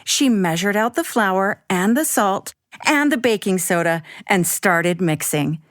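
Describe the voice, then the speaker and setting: A woman narrating a scripted children's bedtime story with expressive, deliberate, simple phrasing. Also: mid-range voice, no echo, clean studio sound.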